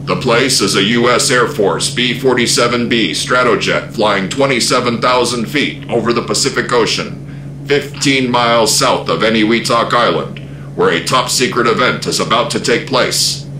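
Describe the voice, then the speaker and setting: A man's voice: medium pitch, moderate pace, background noise, natural voice. A man narrating a radio drama serial as a solemn storyteller. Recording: echoing voice over loud music.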